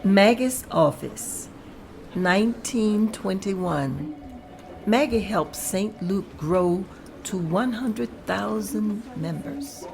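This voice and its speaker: A woman reading stage directions aloud at a table read in a slow, measured way. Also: mid-range voice, animated, gravelly, darkish tone, a little hiss or hum.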